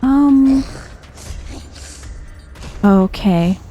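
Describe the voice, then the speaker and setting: A soft-spoken woman giving chill, understated game commentary in an ASMR style, musing aloud as she plays. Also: sits high, expressive, very slow, dull audio, faint music behind. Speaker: video game streamer.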